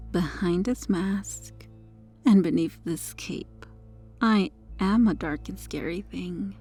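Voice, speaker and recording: A woman playing a possessive superheroine with a hidden dark obsession in an ASMR roleplay, her manner controlled and teasing. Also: on the low side, exaggerated voice. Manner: smug, flirtatious, controlled.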